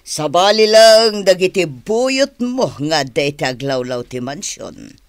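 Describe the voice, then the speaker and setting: A scornful radio drama villainess, partner to a crime boss. She drawls very slowly and tauntingly, with sweeping intonation. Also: on the low side, animated, no echo, studio quality. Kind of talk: radio drama acting in Ilocano.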